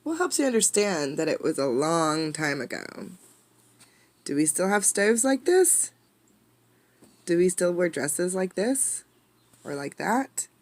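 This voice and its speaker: A woman reading a storybook aloud as a teacher, expressively, pausing to ask listeners questions. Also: on the low side, sing-song, no room sound. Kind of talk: interactive storybook read-aloud.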